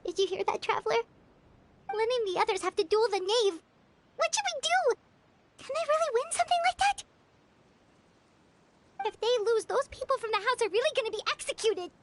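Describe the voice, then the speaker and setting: Squeaky, animated little fairy girl. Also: brisk, pauses often, a little hiss or hum, full character voice. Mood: worried. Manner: squeaky, expressive, questioning. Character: tiny childlike fairy companion girl, chatty and fretful. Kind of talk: cartoonish video game acting.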